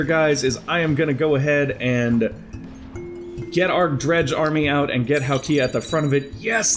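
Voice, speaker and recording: Chatty young man narrating a strategy game. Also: fairly high pitch. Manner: chatty, thinking aloud while planning moves. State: slightly congested from allergies. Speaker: gaming commentator. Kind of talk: let's play strategy game commentary. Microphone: headset.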